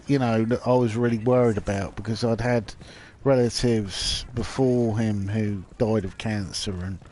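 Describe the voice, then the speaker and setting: A man with a nasal, bunged-up voice from hay fever, rambling hesitantly with many pauses while he streams a video game. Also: fairly low pitch, steady tone, darkish tone. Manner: hesitant, many ums and restarts. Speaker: livestreamer playing a video game. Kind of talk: casual rambling commentary over gameplay. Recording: game sounds and vehicle noise behind. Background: video game audio with vehicles.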